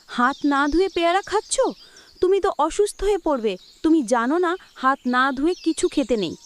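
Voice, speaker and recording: A woman's voice: high-pitched, animated, moderate pace, voice pushed up. A woman voicing a caring, bossy young girl in a children's cartoon. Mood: scolding. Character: caring, bossy young sister teaching her brother.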